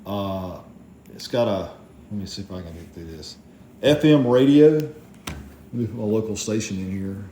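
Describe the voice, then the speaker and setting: A man with a soft, mellow voice gives a casual gear review in a plainspoken, rambling way. He sounds like a hobbyist explaining his equipment. The room is clearly echoey, with faint noise behind him. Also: lowish voice, lively intonation, moderate pace, pauses often. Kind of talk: casual product review vlog.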